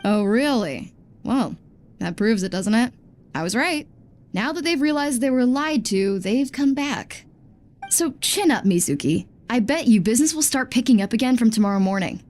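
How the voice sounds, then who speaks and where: A woman's voice: fairly high pitch, lively intonation, moderate pace, darkish tone, lightly acted. A woman voicing a blunt bathhouse worker. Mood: smug. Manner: blunt, grumbly. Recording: game audio with faint music.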